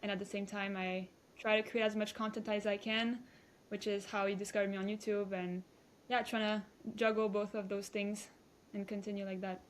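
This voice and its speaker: A woman's voice: medium pitch, normal speed. Woman in her 20s from belgium, laid back guest, lots of ums and restarts. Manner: relaxed, hesitant with restarts and fillers. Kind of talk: casual interview chat.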